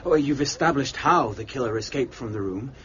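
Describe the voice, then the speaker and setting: An actor playing an earnest detective's companion in an audio drama, polite, inquisitive and easily amazed. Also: male voice, medium pitch, expressive, fairly quick, a bit bright, lightly acted. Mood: curious. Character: earnest, curious, astonished male sidekick of a detective. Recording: muffled, noisy lo-fi audio.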